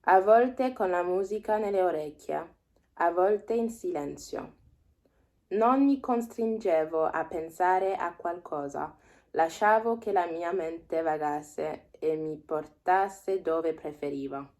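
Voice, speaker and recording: A woman in her twenties, a native French speaker, vlogging as a language learner. She reads a text aloud slowly, thinks aloud and guesses at words she doesn't know. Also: on the low side, a little mellow. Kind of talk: reading aloud and explaining a text.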